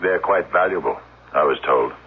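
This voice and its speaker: An actor playing a brooding, unstable grown son in a 1970s radio drama, halting, theatrical and needling. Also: male voice, rumbling low, breathy edge. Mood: quietly menacing. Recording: dull lo-fi old radio recording.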